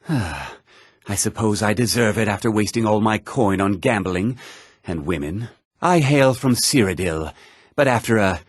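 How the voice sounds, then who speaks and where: An actor playing a vain, pompous former ship's captain and fletcher with flowery speech in a video game. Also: male voice, lowish voice, breathy edge, no echo, studio quality. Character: vain, silver-tongued male ex-captain and fletcher. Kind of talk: video game character lines.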